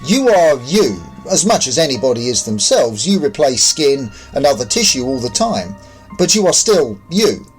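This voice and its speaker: A man's voice: mid-range voice, very animated, normal speed, soft background music, close to an everyday voice, poor audio. A man voicing an ancient, patient otherworldly visitor who explains things in a sci-fi audio story. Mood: reassuring.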